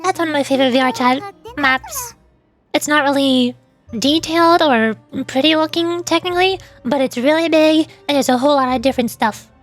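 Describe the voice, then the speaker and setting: A woman's voice: sits high, expressive, normal speed, soft background music. A woman streamer rambling casually, explaining things in a chatty way with playful asides.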